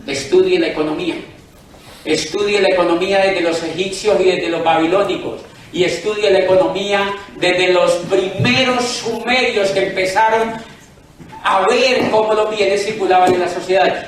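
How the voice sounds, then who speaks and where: A man's voice: on the high side, far from the mic, a little hiss or hum. Persuasive Colombian man in an echoey room. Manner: persuasive, addresses the audience directly with questions. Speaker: business presenter. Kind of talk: sales presentation to a live audience. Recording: echoey room, speaker away from the mic.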